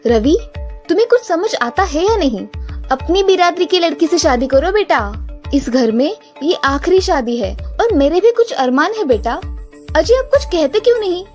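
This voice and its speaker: A woman's voice: very high-pitched, big pitch swings, normal speed, darkish tone, faint music behind. A woman voicing a bossy, scolding middle-aged village mother-in-law in a cartoon story. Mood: pleading, cross. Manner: nagging, scolding.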